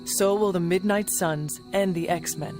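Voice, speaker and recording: A woman acting a resolute young hero in a video game cutscene, speaking in a solemn, measured, formal way. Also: on the low side, over music, close to an everyday voice. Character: resolute young hero leading a supernatural team.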